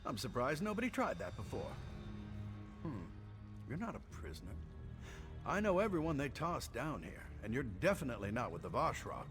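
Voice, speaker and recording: An actor playing a chatty, sardonic prison engineer in a video game. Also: male voice, medium pitch, big pitch swings, moderate pace. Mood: dry, curious. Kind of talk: video game character acting. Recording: faint game music under the voice.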